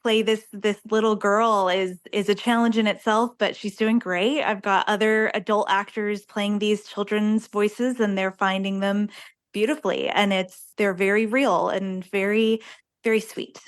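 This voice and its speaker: A woman's voice: mid-range voice, steady tone, measured pace, clear, resonant tone. Enthusiastic woman in an interview. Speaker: theater director being interviewed. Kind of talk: casual interview conversation.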